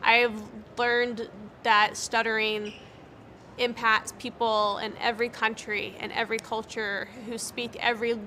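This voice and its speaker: Young woman who stutters, bright voice. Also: on the high side, little pitch movement, unhurried, a little hiss or hum. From United States. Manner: stutters, with repeated words and broken-off sounds; earnest and encouraging. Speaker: interviewee, speech-language pathologist. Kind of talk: interview answers.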